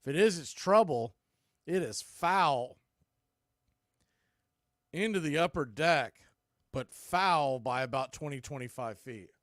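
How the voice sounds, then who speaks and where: A man doing live radio-style baseball play-by-play as the announcer, making clipped pitch calls in short phrases. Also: animated, takes it slowly, pauses often, fairly bright tone, no room sound, studio quality.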